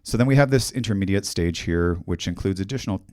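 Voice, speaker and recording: A middle-aged man with a Canadian accent giving a technical conference talk in a fairly monotone, steady voice, speaking into a handheld mic on a hall stage. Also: low-pitched, quick pace, smooth, studio quality. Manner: monotone, steady explanatory delivery. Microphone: handheld microphone.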